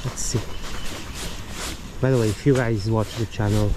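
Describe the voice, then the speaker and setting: A man's voice: lowish voice, moderate pace. Easygoing man narrating from a windy boat. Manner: relaxed, chatty, with fillers and loose phrasing. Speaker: fishing vlogger. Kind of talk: casual outdoor commentary to camera. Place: on a boat on open water, outdoors, in wind. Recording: outdoor wind and water noise. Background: wind and water noise.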